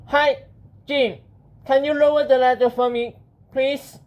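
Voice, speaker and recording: A man's voice: extremely high pitch, flat delivery, measured pace, darkish tone, pitched above the performer's own voice. A man voicing an eager, anxious, polite young boy in a children's audiobook, earnest and pleading.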